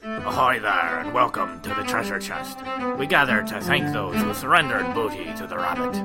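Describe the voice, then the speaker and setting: A man's voice: lowish voice, lively intonation, normal speed, lightly acted. A man voicing a boisterous pirate who thanks donors with a hearty, theatrical growl. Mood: jolly. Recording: loud fiddle music underneath.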